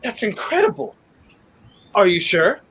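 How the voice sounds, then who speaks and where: A young man playing a determined, quick-thinking hero on the run in a 1930s-style radio play. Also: high voice, very animated, fairly bright tone, a little hiss or hum, full character voice. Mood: puzzled, hesitant. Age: young adult.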